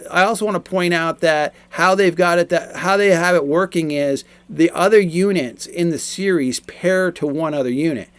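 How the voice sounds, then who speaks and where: Middle-aged man, slightly high-pitched, casually explaining. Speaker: product reviewer. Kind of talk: casual explanatory product review.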